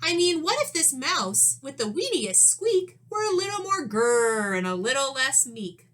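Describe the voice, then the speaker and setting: A woman voicing a tiny, eager, polite male mouse with a squeaky voice, in a children's storybook. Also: big pitch swings, unhurried, fairly bright tone, full character voice. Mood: excited. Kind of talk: read-aloud children's storybook.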